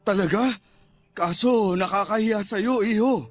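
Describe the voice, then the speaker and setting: Radio drama role: protective, remorseful Filipino father, older, high-pitched, earnest, music underneath. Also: measured pace. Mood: remorseful, grateful. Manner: pleading, earnest.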